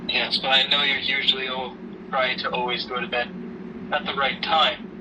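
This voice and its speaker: A man playing a caring, gently probing father in an amateur audio drama, with a halting, tentative delivery. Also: mid-range voice, flat delivery, far from the mic, muffled recording, noisy background, lightly acted.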